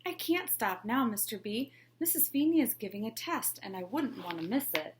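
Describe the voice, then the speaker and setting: A woman reading aloud, voicing an excitable schoolboy who gets eager and worried in turns. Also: on the high side, moderate pace, a little hiss or hum, lightly acted. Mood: hurried.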